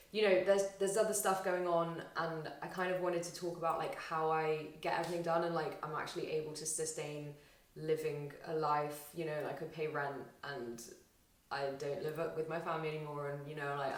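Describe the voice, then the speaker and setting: A young woman vlogging casually to camera, chatty and rambling, her voice slightly husky and tired after a weekend out. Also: fairly low pitch, far from the mic. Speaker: vlogger and aspiring actor. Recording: roomy echo, faint music.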